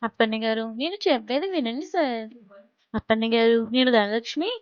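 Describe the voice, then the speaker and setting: మర్యాదగా మాట్లాడే కస్టమర్ కేర్ మహిళ. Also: female voice, lively intonation, a little fast, clean tone, dry recording, muffled recording. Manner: polite, patient sales pitch. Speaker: customer care caller. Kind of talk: scripted sales phone call.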